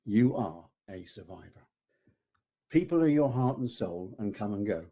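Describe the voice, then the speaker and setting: A man's voice: fairly low pitch, mellow, dull audio. A man in his sixties reading a scripted tribute message. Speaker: former engineering manager.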